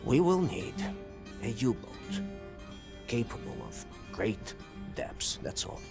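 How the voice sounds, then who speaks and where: An actor playing a learned, proud engineer of a secret society in a video game, speaking solemnly and grandly. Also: male voice, medium pitch, very animated, slow pace, gravelly, music in the background, heavily acted. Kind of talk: dramatic video game acting.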